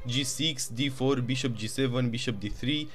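A man with a Romanian accent narrating chess games move by move, with excited asides. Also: mid-range voice, normal speed, faint music behind. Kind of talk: chess game commentary.

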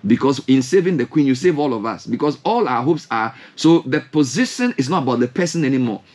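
A man's voice: medium pitch, brisk. A young man with a Ghanaian English accent, a radio host in a studio, giving impassioned commentary full of rhetorical questions. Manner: animated, rhetorical questions, gesturing hands. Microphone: studio mic with headphones.

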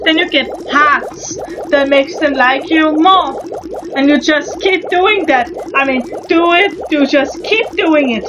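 A young Danish woman with a high voice, talking through a game in a chatty, halting way, often stopping to restart her sentences. Her Danish accent is clear throughout. The sound is a little dull, recorded at home with soft game music underneath. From Denmark. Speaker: gaming channel host. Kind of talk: casual gameplay commentary.

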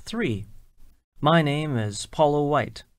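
A speaker reading scripted self-introductions for a listening exercise in a plain, measured way. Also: medium pitch, animated, no room sound. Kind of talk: scripted textbook dialogue.

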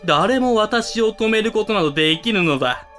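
A man's voice: sits high, lively intonation, moderate pace, fairly bright tone, soft background music. A man playing a pompous, villainous school club president obsessed with masks, grandiose and theatrical, in an amateur voice drama. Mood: gloating, grand.

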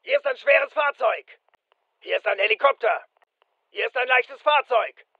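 A brisk fireteam soldier in a military video game. The voice is very high, with clipped, businesslike delivery. The recording is dry and slightly dull. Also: male voice, expressive, dry recording, full character voice. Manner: clipped, matter-of-fact. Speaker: game voice actor.

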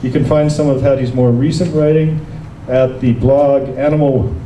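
Dark-voiced man introducing a reader. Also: mid-range voice, steady tone, normal speed, a little hiss or hum. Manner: reads from a sheet, occasional slips and self-corrections. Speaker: reading series host. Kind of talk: reading a speaker introduction. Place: small stage in a dark club. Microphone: stand mic. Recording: roomy, distant live-room sound.

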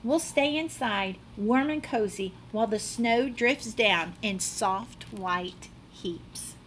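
A woman's voice: fairly high pitch, a little hiss or hum. A middle-aged woman reads a children's picture book aloud like a teacher, dramatically and expressively, drawing out words. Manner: animated, dramatic delivery with drawn-out words. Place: small classroom, indoors.

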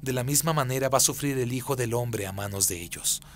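A man's voice: medium pitch, brisk, close to an everyday voice. A man voicing a firm, authoritative prophet, preaching solemnly and emphatically in a radio drama. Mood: solemn teaching. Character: Jesus, firm authoritative male prophet and teacher.

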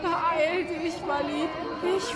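High-pitched, desperate fading spirit played by a woman. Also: fairly even intonation, slow pace, loud music behind the voice, a loud crowd. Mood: desperate, pleading. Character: desperate, fading female troll spirit calling for help. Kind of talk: German fan audio drama acting.

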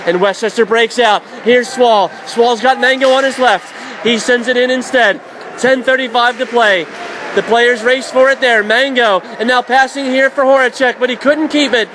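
A man doing radio play-by-play of a college hockey game, calling plays quickly and shouting at the big moments. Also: very high-pitched. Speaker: play-by-play announcer. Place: college ice arena. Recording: noisy background.

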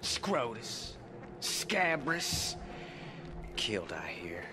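Swooping, mocking wasteland boss. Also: male voice, medium pitch, sing-song, very slow, over music. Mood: wry. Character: wry, wary wasteland stronghold boss, erratic and mocking. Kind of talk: video game character acting.

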